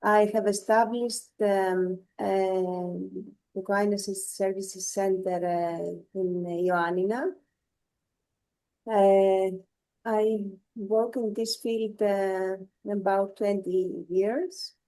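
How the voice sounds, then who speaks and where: A woman from Greece speaks English, her second language, very slowly and haltingly, with pauses full of fillers. Her voice is mellow and dark. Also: mid-range voice, steady tone. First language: Greek. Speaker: researcher and educator. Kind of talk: online meeting introduction.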